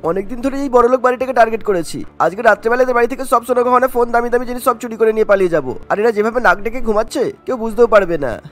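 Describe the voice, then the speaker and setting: Sneaky cartoon thief, acted by a man: gloating, scheming, high voice, rapid patter. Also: soft background music. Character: gloating, sneaky burglar eyeing a rich house. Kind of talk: animated cartoon villain acting.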